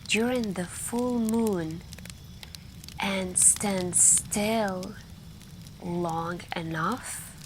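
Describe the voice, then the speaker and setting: A soft-spoken woman telling scary stories in an ASMR style, hushed and intimate with pauses and drifts into whispering. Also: fairly low pitch. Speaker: ASMR roleplay performer playing a friend telling campfire stories. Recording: steady background ambience noise. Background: crackling campfire and night ambience.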